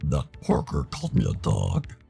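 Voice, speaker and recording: A man voicing a gruff, quick-tempered young wolf character from a visual novel, blunt and grumbling in a gravelly growl. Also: very deep voice, huge pitch swings, dark tone, faint music behind, voice pushed down, full character voice. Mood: angry.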